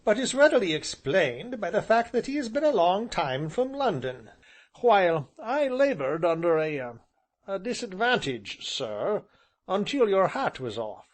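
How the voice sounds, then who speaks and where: A man voicing a tall, jovial gentleman who gushes and laughs at his own joke in a high voice. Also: sing-song, unhurried, heavily acted. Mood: smooth. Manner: mocking, laughing, gushing.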